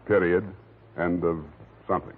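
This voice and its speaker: An actor playing a world-weary, hard-boiled private detective with a dry, sardonic delivery in 1940s radio drama. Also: male voice, low voice, steady tone, normal speed, a little hiss or hum, lightly acted. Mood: wry, world-weary. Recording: tinny vintage 1940s radio recording.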